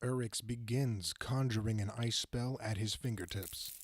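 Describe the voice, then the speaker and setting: A man playing the composed narrator of a fantasy audio drama, measured and formal in scripted delivery. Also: lowish voice, lightly acted.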